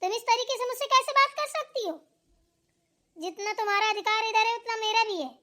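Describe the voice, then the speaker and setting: A woman's voice: very high voice, fairly quick, bright, forceful tone. A woman voicing a mouthy, defiant younger sister-in-law in a Hindi family drama cartoon, argumentative in manner.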